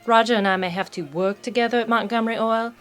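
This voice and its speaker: A woman performs a proud oil heiress in a melodramatic audio drama. Her voice is on the high side, with faint music behind it. She is earnest and emphatic, defending herself with defiance. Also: fairly even intonation, fast talker, lightly acted. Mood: hurt defiance.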